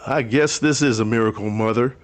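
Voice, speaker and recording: A man's voice: mid-range voice, a little fast, natural voice. A man playing a folksy, good-natured old country storekeeper in a radio drama, homespun and plain-spoken. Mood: moved. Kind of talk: vintage radio drama acting.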